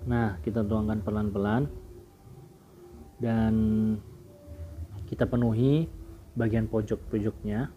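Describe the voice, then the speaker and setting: A man narrating a casual home drink-making tutorial in a relaxed way. He keeps addressing viewers as "guys" and often lets his sentences trail off quietly. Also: lowish voice, slow, long pauses, highs cut off, slight background noise. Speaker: home cooking video host.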